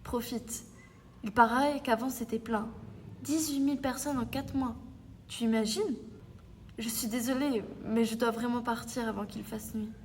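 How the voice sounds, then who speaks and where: A female performer playing a gentle, reassuring sixteen-year-old survivor in a French audio drama. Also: a little high, fairly even intonation, a little slow, slight background noise.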